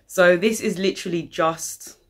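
Casting: young British woman with a low-pitched voice, informal and slangy, talking to camera like a casual vlogger. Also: moderate pace. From United Kingdom. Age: young adult. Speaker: YouTube channel host. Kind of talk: casual vlog chat. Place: living room, indoors.

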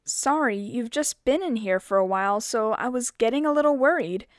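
A woman's voice: a little high, animated, moderate pace, dry recording, clean studio sound, lightly acted. A woman voicing a blunt, skeptical, slightly impatient schoolgirl in a horror game fan dub, with a casual, frank manner. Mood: concerned.

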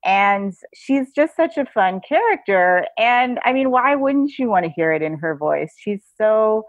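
A woman's voice: mid-range voice, expressive, unhurried, dry recording. A woman giving a casual, chatty interview as a guest author, in a giggly manner. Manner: chatty, giggly asides mid-sentence. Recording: thin phone-like call audio.

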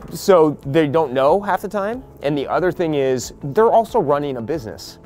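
A man's voice: on the high side, lively intonation, darkish tone, faint music behind. Blunt man explaining a house flip. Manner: blunt, practical, quoting others in quick asides. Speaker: real estate investor leading a property walkthrough. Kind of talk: casual explaining to a group on site. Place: outdoors on a house porch.

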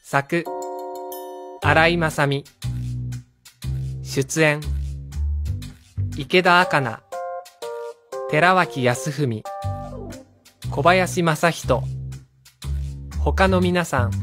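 A man's voice: a little high, drawn-out words, pauses often. A man reading a drama title and credits as an announcer. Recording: music behind the voice.

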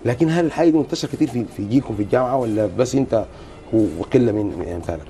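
A middle-aged man with a Sudanese Arabic accent hosts a TV sports interview in a studio, speaking on a lapel mic. He talks very fast in a mellow, darker tone and gets animated when he asks questions. A faint hiss runs under his voice. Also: medium pitch. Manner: brisk, animated questioning with many "mm" backchannels. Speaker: TV sports show host.

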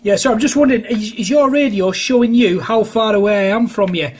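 A man's voice: high voice, fairly quick, darkish tone, breathy edge. A man chatting casually on amateur radio, rambling and hesitant as he goes. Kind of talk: casual two-way radio chat. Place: indoors at a home radio station.

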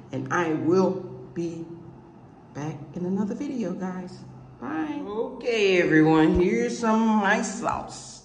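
Chatty woman vlogging from an echoey kitchen. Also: deep voice. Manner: chatty, rambling, talks straight to viewers. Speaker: cooking vlogger. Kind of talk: casual cooking vlog chat. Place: home kitchen table, indoors. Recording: echoey room with faint hiss.

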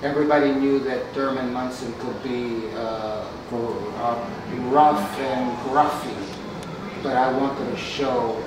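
Casting: middle-aged American male interview guest, earnest and emphatic, narrow pitch range, recorded off-mic in a noisy, echoing room. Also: fairly even intonation, slow pace, distant mic. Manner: earnest, emphatic, checks listener understands. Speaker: interview guest, author and sports executive. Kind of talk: conversational interview. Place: glass-fronted stadium suite, indoors.

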